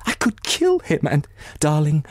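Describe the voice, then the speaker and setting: Man reading as an anguished, breathy betrayed wife. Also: a little high, wildly swooping pitch, no room sound, clean studio sound, voice pushed up, heavily acted. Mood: spiteful despair. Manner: anguished, emphatic, halting delivery. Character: distraught betrayed wife, bitter, near tears.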